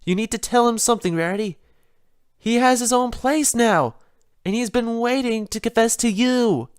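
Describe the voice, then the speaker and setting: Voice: medium pitch, normal speed, smooth, no room sound, clean studio sound, voice pushed up, close to an everyday voice. A narrator as a blunt, bossy girl pony. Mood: exasperated. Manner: blunt, impatient. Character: bossy, exasperated young female pony, blunt friend.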